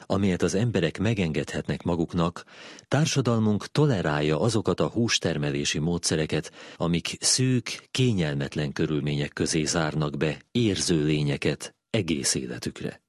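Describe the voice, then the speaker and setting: A man's voice: rumbling low, lively intonation, no echo, clean studio sound. A man reading a written text aloud as scripted narration. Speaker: narrator reading a philosophy essay.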